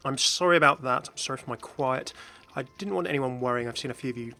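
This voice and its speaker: Bright-voiced man doing casual gaming commentary. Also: medium pitch, fast, creaky. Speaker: video host, game content creator.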